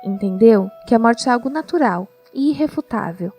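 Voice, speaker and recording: A woman's voice: fairly high pitch, animated, moderate pace, darkish tone. A woman with a Brazilian accent narrating a scripted audio drama as storyteller. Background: music.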